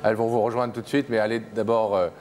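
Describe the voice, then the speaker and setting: A man hosting a television show, gently guiding guests with frequent hesitations. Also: lowish voice, level tone, fast, big-room sound. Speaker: TV show host. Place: TV studio set.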